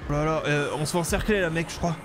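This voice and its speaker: A man streaming an improvised game roleplay, talking in an excitable, theatrical way. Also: a little high, fast talker, over music. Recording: noisy game sound behind voice.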